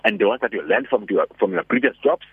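A man with a raspy voice, a radio guest giving animated, persuasive motivational talk by phone. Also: lowish voice, fast, dry recording. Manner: animated, persuasive, asks rhetorical questions. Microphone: telephone. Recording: tinny dry phone line.

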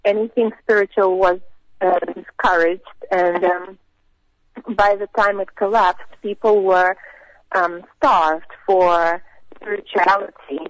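A woman in her thirties with a Russian accent, telling her personal story as an interview guest, with hesitant, thoughtful pauses. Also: medium pitch, steady tone, no room sound. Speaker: missionary guest. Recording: dull, lo-fi radio sound.

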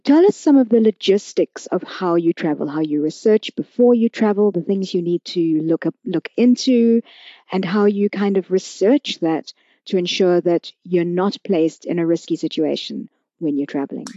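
A woman's voice: fairly low pitch, moderate pace, warm tone, dry recording, muffled recording. A woman hosting a podcast, asking questions in a conversational interview style. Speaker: podcast host.

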